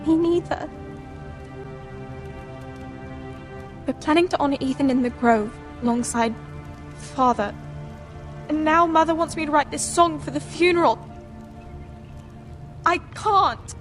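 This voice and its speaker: A woman's voice: high voice, normal speed, long pauses, faint music behind, close to an everyday voice. A woman playing an anxious, devoted teenage noble sister in a dramatic video game, speaking with earnest emotion. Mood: grieving.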